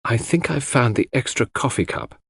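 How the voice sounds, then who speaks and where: A nervous young English gentleman in a mystery audiobook, as a male narrator acts him. He is halting and secretive, with lively pitch and a slightly husky tone. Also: no echo, full character voice.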